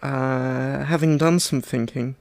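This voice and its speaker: A man with a mid-pitched, fry-heavy voice co-hosts a film podcast. He talks casually in a halting, dryly playful way, and the sound is close and dry. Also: steady tone, darkish tone, no room sound. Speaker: podcast co-host.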